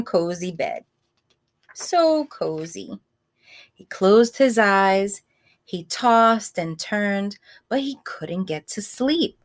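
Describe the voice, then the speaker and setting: A woman reading a picture book aloud like a teacher, in simple child-directed speech, pausing to ask questions and explain words. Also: medium pitch. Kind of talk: read-aloud storytime with asides and questions.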